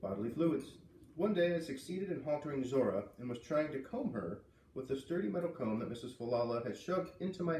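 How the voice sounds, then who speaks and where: A man reading a children's novel aloud as an expressive storyteller. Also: mid-range voice, slight background noise. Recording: roomy echo, distant from mic.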